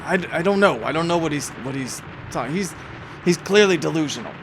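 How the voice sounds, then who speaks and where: A woman's voice: low voice, animated, a little fast. Indignant middle-aged dad for a tabletop drama, played by a woman; dismissive, challenging, faint background noise. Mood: flustered, dismissive. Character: stern, disapproving middle-aged father berating his son.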